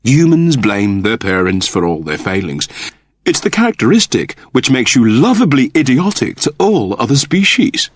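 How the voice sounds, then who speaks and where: A man's voice: mid-range voice, very animated, moderate pace, breathy edge, dry recording, clean studio sound, voice pushed up. A man voicing a polite, anxious robot servant, deferential and formal, in an audiobook story. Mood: earnest. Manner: deferential, formal, precise wording.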